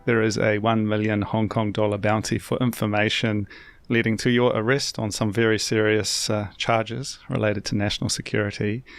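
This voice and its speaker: A man hosting an interview podcast, asking questions in a measured, conversational way. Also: on the low side, clear, resonant tone, no room sound. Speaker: podcast host.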